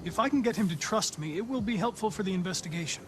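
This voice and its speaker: An actor playing a calm, courteous young male android detective who speaks in an even, precise way, with game music behind. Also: sits high, quick pace. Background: game score music.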